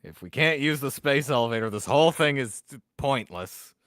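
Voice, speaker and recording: A man's voice: medium pitch, lively intonation, moderate pace, a bit bright, no room sound. A man playing a tabletop roleplaying game, chatting casually in a blunt, wry way.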